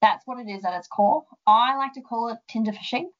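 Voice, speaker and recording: A woman's voice: on the high side, a little fast, muffled recording. Young Australian woman explaining casually. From central west New South Wales, Australia. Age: young adult. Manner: friendly, explanatory, with frequent ums. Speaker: guest, sheep genetics development officer. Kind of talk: casual interview answers.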